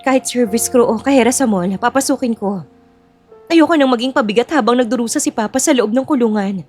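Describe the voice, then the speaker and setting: Woman playing an earnest, high-voiced young daughter. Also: a little fast, darkish tone, soft background music, close to an everyday voice. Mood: determined. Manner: trembling, determined. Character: earnest, self-sacrificing young student daughter.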